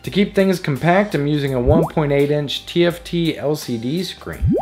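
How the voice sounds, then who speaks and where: A man's voice: fairly high pitch, normal speed. A man narrating a scripted electronics tutorial in a playful, jokey manner. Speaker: host of an electronics DIY tutorial. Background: background music.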